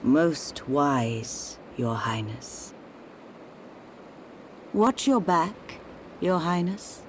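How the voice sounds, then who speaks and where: A man's voice: very animated, a little hiss or hum, exaggerated voice. A man playing a solemn, sinister priest of an imperial court in a video game, speaking ceremoniously. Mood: veiled menace. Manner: formal, ceremonious, deliberate. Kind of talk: video game cutscene acting. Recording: rumbling ambience behind voice.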